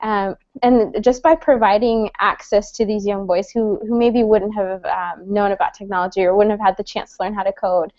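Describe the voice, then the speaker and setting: A woman's voice: medium pitch, fairly even intonation, moderate pace, hardly any pauses, mellow, dry recording. A woman in her thirties from El Salvador, moderating an online panel in a friendly, chatty way with many fillers and restarts. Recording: dull lo-fi dry sound.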